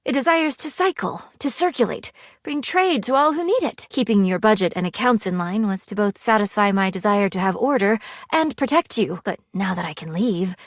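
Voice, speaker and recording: A woman's voice: mid-range voice, dry recording, lightly acted. Woman acting prim, formal young game heroine, dry studio sound. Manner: formal, precise wording with few contractions. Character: prim, earnest, thrifty young woman, living money personified, game dating character. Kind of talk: video game character dialogue.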